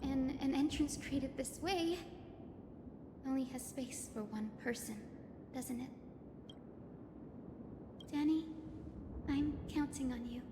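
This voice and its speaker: High, gentle young healer heroine. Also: female voice, slow, long pauses, noisy background. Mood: resolute. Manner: soft-spoken, composed, solemn. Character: gentle young female healer, descendant of a sky hero, selfless and resolute. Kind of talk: dramatic video game voice acting. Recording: echoey long reverb under music.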